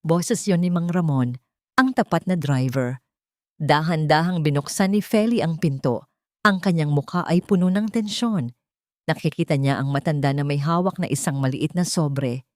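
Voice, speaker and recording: A woman's voice: sits low, moderate pace, a little mellow, dry recording, studio quality. A woman reading scripted, dramatic story narration as the narrator.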